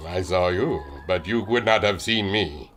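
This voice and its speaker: An actor playing a huge, jovial German airship captain and inventor with a theatrical delivery and a German accent, in a pulp radio adventure. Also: male voice, measured pace, fairly bright tone, a little hiss or hum. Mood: proud, mysterious. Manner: hearty booming laugh, theatrical delivery.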